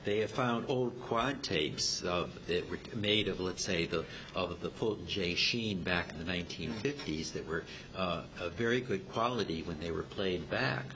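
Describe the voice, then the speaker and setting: A man with a very low voice gives an informal talk, feeling his way hesitantly through technical explanations. The recording is dull and amateur, with clear noise and a low rumble underneath. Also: a bit bright. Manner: halting, hesitant, explanatory delivery. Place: club meeting room.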